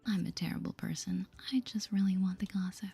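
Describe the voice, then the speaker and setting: A woman hosting a soft-spoken ASMR game playthrough in a breathy whisper, reacting playfully and gossipily to the story. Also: on the low side, steady tone, a little fast, faint music behind. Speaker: gaming video host.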